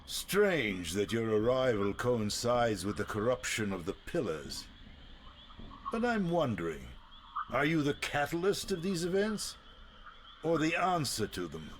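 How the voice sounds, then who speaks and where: A man's voice: medium pitch, very animated, slight background noise. A man playing an ancient, imperious and suspicious vampire lord in a video game, speaking in a haughty, sardonic, theatrical way. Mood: sardonic, probing. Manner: slow, haughty, sardonic, sweeping delivery.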